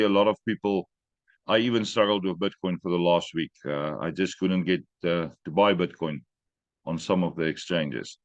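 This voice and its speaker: A man hosting an online training call, giving brisk instructions with joking asides. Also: low voice, no room sound, dull audio.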